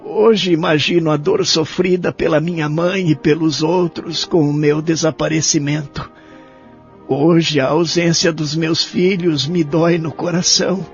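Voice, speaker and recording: A man's voice: on the high side, gravelly. A man playing a lonely, remorseful elderly care-home resident in an audio drama, speaking earnestly and wistfully. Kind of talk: audio drama acting and first-person narration. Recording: dull lo-fi audio, faint music behind.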